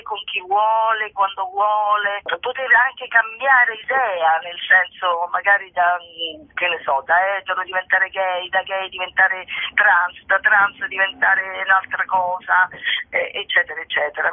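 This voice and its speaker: A woman's voice: medium pitch, dry recording. A woman chatting casually as an interview guest, hesitant and often searching for her words. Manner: hesitant, searching for words, repeating phrase starts. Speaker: guest, actress and co-author. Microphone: telephone. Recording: tinny narrowband telephone line.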